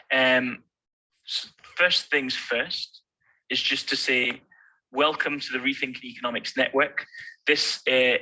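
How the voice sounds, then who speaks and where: A man giving a recorded online presentation, relaxed and hesitant with many fillers. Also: medium pitch, rough recording. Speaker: presenter from international network.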